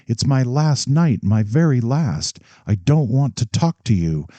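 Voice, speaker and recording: A man's voice: medium pitch, big pitch swings, unhurried, dark tone, no room sound, voice pushed up. A man reading an audiobook, voicing a defiant, nearly hysterical young woman on the eve of her wedding, her voice pleading and breaking. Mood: frantic.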